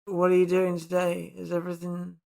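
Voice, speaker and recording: A man acts out a school kid faking illness in a tabletop game. The voice is pitched up, feeble and pleading, with a melodramatic air of suffering. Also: completely flat delivery, fairly quick, gravelly, dark tone. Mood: feeble, self-pitying. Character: school kid pretending to be pitifully sick.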